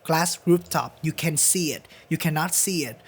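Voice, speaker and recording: A man's voice: on the high side, normal speed, slightly rough. A man from Vietnam with a Vietnamese accent, speaking English as a second language as a guest musician on a podcast. He talks earnestly and emphatically, repeating phrases to drive his point home, in a recording studio. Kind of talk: casual interview conversation.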